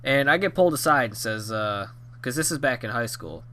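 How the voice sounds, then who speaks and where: Rambling young man, bright nasal voice. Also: mid-range voice, lively intonation, fairly quick. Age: 20s. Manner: rambling with many fillers and restarts. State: nasal and hoarse from a cold. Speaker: YouTube storyteller. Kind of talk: rambling casual storytime.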